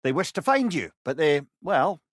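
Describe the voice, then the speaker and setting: A man's voice: on the high side, sing-song, moderate pace, no room sound, clean studio sound. An actor playing a witty, learned old male sage in a video game, with a Scottish accent and a wry, eloquent way of talking. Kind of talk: video game character dialogue.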